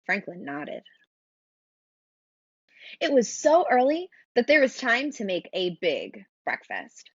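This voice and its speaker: A woman reading a children's storybook aloud in an expressive narrating style. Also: on the high side, slow pace, pauses often, slightly rough, no echo, muffled recording. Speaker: storybook reader.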